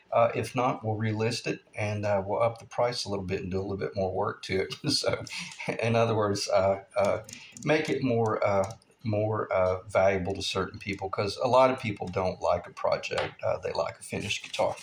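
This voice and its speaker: Man in his fifties, lowish voice, laid-back rambling tutorial talk, workbench. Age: 50s. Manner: relaxed, rambling, many "uh" fillers. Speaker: guitar builder. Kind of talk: casual workshop tutorial. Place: home workshop bench.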